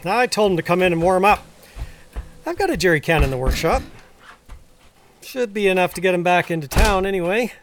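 Laconic rural farm husband. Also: male voice, high-pitched, animated, a little fast, pauses often, a little hiss or hum. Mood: practical, concerned. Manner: laconic, plainspoken. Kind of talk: naturalistic audio drama acting.

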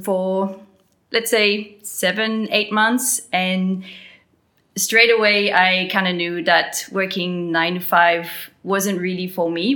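A woman's voice: lowish voice, a bit bright. A woman in her thirties from New Caledonia with a French accent, chatting casually as a podcast guest and laughing often. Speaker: podcast guest, graphic designer. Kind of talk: casual interview conversation.

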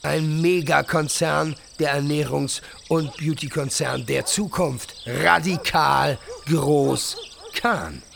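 A man's voice: fairly high pitch, slow pace, gravelly, crowd noise, full character voice. A man playing a slick, self-promoting influencer entrepreneur in a satirical audio drama, bragging and flirty, dropping English buzzwords. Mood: smug, preachy. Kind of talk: satirical podcast radio drama.

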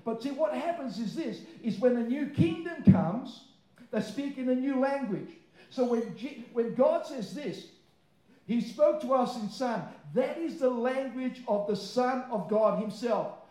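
A male pastor, a second-language English speaker, preaching emphatically with stretched key words, quick informal asides and rhetorical questions. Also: very high voice, animated, mellow. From born abroad, moved to Australia aged nine. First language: not English; English is a second language. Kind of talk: sermon to a live congregation. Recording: roomy, echoing live sermon sound.

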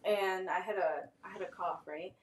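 A woman's voice: fairly low pitch, steady tone, normal speed. A young woman co-hosting a casual podcast, rambling through stories with frequent laughs and filler words. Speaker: podcast co-host.

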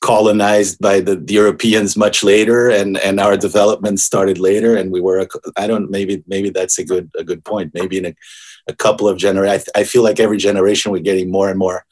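Deep-voiced man in his forties from Brazil. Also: little pitch movement, darkish tone. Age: 40s. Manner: thoughtful, halting, with many restarts. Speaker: guest composer. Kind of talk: casual interview conversation.

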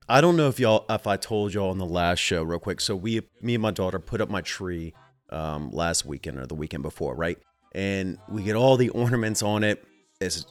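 A man in his thirties with a stuffy, nasal voice, telling a rambling story as a show host and often stopping to restart his sentences. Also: medium pitch. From US Southeast. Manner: rambling, self-interrupting, chuckling. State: stuffy nose. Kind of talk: casual storytelling. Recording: music playing behind.

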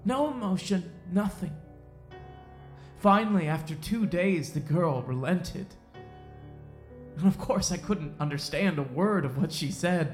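A woman plays a cold, self-righteous Puritan sheriff in an audio drama, a man who preys on the weak. The voice is low and soft-edged, brooding through long pauses. It sounds in a roomy echo with music underneath. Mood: cold, confiding. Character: menacing, self-righteous male Puritan sheriff, coldly predatory. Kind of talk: audio drama acting, slow dramatic monologue.

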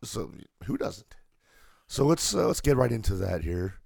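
A hoarse man with a deeply gravelly, low, dark voice, co-hosting a laid-back wrestling chat. He reads through a list of anniversaries in an easygoing way. The sound is dry and close. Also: unhurried, dry recording. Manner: relaxed, reads off a list of dates. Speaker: podcast co-host.

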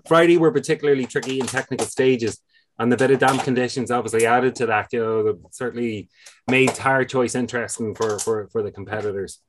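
A man co-hosting a podcast, talking enthusiastically and trailing off quieter. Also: mid-range voice, slight background noise. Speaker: podcast co-host. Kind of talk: casual podcast chat.

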